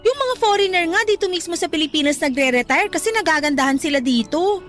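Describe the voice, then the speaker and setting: A woman's voice: very high-pitched, lively intonation, fast, fairly bright tone, soft background music. A woman playing a sensible, exasperated young cousin and coworker who nags and admonishes in a Tagalog radio drama. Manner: nagging, admonishing.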